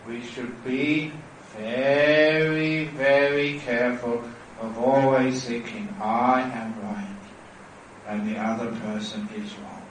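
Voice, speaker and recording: Male monk teacher, slow and animated, storytelling at a retreat; echoey, distant temple hall sound. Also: on the high side, a little hiss or hum. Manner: storytelling, playful and warmly persuasive. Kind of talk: dharma talk at a retreat.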